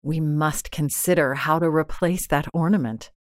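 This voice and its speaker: A woman's voice: low-pitched, steady tone, moderate pace, dry recording, clean studio sound, natural voice. A woman voicing a worried, practical husband, urgent and questioning, in a dramatised storybook reading. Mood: resolved. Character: worried, practical husband, a tired government clerk.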